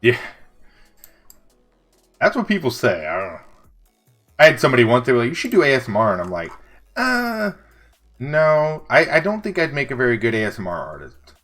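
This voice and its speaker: A middle-aged man with a deep voice, chatting casually on a livestream as he draws and answers chat. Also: normal speed, pauses often, faint music behind. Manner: relaxed, rambling, reads and answers chat. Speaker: livestreaming vector artist. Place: home studio desk.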